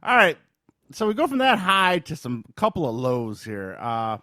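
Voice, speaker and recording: A man's voice: on the high side, wildly swooping pitch, normal speed, bright, forceful tone, no room sound, studio quality. A man co-hosting a podcast, reviewing in a casual, informal way and thinking aloud as he goes. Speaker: podcast co-host. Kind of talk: casual chat, movie review.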